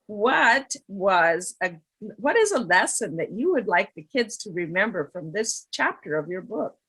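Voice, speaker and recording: Female kids' storytime host, mid-pitched, very unhurried delivery, encouraging and effusive, conversational interview style. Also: very animated, a bit bright, slightly rough, low-quality recording.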